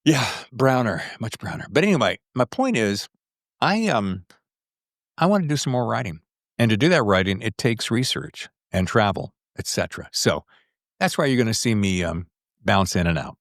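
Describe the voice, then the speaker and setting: A man's voice: fairly low pitch, big pitch swings, moderate pace, no echo, clean studio sound. A middle-aged or older man hosting a podcast in a casual, playful and rambling way, with self-teasing asides. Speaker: podcast host.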